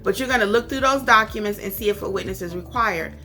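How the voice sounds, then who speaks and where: A woman's voice: medium pitch, fast talker, sharp tone, soft background music. An American woman giving an instructional talk as a tutorial host, stressing and repeating her key points. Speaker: instructor and host of tutorial videos for notary signing agents. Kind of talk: instructional talk with acted-out example phone calls.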